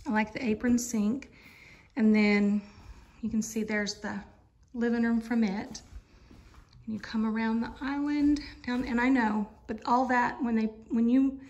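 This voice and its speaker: A woman's voice: on the high side, gravelly. A woman giving a chatty, rambling walkthrough of an empty model home, filming on a handheld phone. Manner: chatty, rambling, many fillers. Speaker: vlogger touring a mobile home. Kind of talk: casual home tour walkthrough. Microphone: handheld phone camera.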